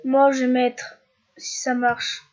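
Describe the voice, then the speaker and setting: A woman's voice: high-pitched, monotone, slow pace, darkish tone, dull audio. A young woman from France with a cold, talking through a screen-recorded tutorial, hesitant and full of filler sounds and restarts. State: stuffy with a cold. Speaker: YouTuber.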